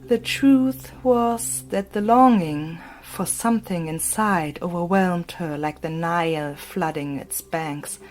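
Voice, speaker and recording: A woman reading a literary story as an audiobook narrator, in a breathy, hushed, dramatic storytelling manner. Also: on the low side, slow pace, hardly any pauses.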